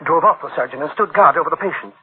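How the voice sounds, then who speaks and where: A man's voice: a little high, very fast, breathy edge. An actor playing a troubled scientist brooding over his invention in a radio drama, with clipped dramatic phrasing on a tinny recording. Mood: alarmed. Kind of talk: dramatic radio play acting.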